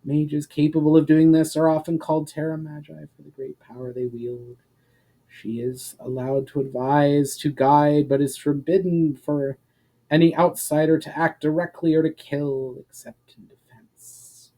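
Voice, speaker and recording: A man's voice: fairly high pitch, flat delivery, dark tone, low-quality recording. Man reading as a wise, formal mystic woman. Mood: explanatory. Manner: formal, measured explaining. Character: wise, mysterious woman guide explaining ancient magical laws. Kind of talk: fantasy audiobook dialogue.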